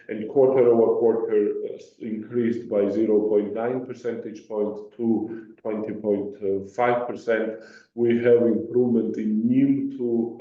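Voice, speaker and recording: Halting man, very echoey and muffled. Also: lowish voice, warm tone. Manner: halting, hesitant delivery. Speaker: bank executive presenting results. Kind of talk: earnings conference call presentation. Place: conference call. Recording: muffled, very echoey, distant microphone.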